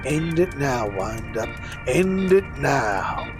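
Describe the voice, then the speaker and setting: Fire-and-brimstone male preacher acted by a man for radio drama. Mid pitch, highly animated, rhythmic, music under. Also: slow. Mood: coldly urging. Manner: rhythmic cadence, sudden surges and drops.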